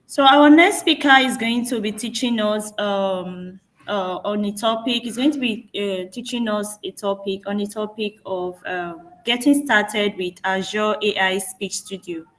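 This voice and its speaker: A woman's voice: mid-range voice, unhurried, few pauses, fairly bright tone, low-quality recording. A woman introducing the next speaker at an online community session over a video call, hesitant and full of fillers. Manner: hesitant, many fillers and restarts. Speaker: session moderator.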